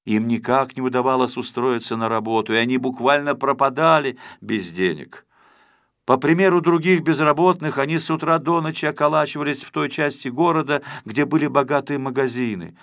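A man reading a children's story as a scripted audiobook narrator, in an expressive, measured storytelling manner. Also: mid-range voice, no room sound, studio quality.

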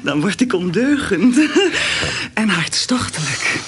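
Kokette, hooghartige gravin, levendige intonatie. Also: female voice, mid-range voice, expressive, slow. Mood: coy, flirtatious. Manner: coy, genteel, snobbish. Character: flirtatious, haughty countess, tipsy and easily thrilled. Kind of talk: Dutch radio play acting. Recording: slightly noisy radio play recording.

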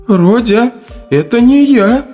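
A man's voice: extremely high pitch, expressive, slow, dark tone, faint music behind, pitched above the performer's own voice, full character voice. A man voicing a frightened, anxious woman who pleads in agitated whimpers in a dramatic audio story. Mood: alarmed.

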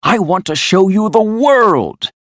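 A man voicing a boastful, excitable, rich toad from a storybook, gushing and exclaiming as he talks on. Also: fairly low pitch, slow, slightly rough, no echo, full character voice. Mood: persuasive. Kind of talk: storybook character voice.

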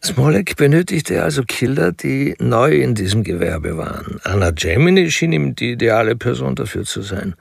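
An actor as the detached, ironic narrator of a crime story, reading scripted literary narration in a radio play. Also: male voice, medium pitch, very animated, moderate pace, vocal fry, hardly any pauses, slightly rough, no echo, studio quality, exaggerated voice. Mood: detached, measured. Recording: music behind the voice.

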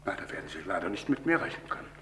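A man playing a wary, shrewd, wealthy English gentleman in an old German radio crime drama, with deliberate, dramatic delivery. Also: low-pitched, sing-song, slight background noise, full character voice. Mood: indignant, dismissive. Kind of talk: German radio crime drama acting, mid-20th century.

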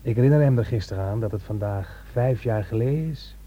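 An actor playing a bitter, spiteful young son in a radio drama, taunting and defensive. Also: male voice, fairly low pitch, fairly quick, highs cut off, lightly acted.

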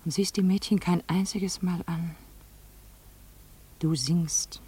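An actress playing a wealthy, weary woman in a German radio play, speaking in hushed, wistful questions that slip toward whispers. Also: lowish voice, fairly even intonation, slow, no room sound, exaggerated voice. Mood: wistful yearning. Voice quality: hushed, breathy, slipping into whispers. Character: wealthy, weary, yearning divorced woman, Mrs. Brown.

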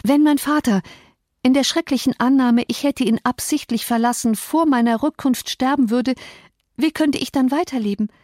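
A desperate young woman, as a woman reader acts her out in an audiobook, raising her voice high as she pleads earnestly. Her delivery is urgent, and the recording is dry and close. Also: normal speed, a little mellow, no echo, lightly acted. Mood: pleading. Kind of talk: audiobook character voice.

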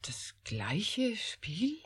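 Eine Schauspielerin spielt in einem deutschen Hörspiel eine stolze, besorgte und entschlossene Wissenschaftlerin, ernst und mit Autorität. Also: female voice, mid-range voice, wildly swooping pitch, very slow, fairly bright tone, slightly rough, full character voice. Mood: earnest, troubled. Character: proud, troubled, resolute female scientist. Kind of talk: German radio drama acting.

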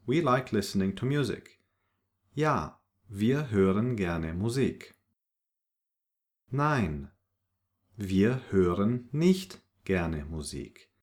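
A man's voice: sits low, darkish tone. A man teaching a language lesson from a script, reading short example sentences and their translations in an instructional way.

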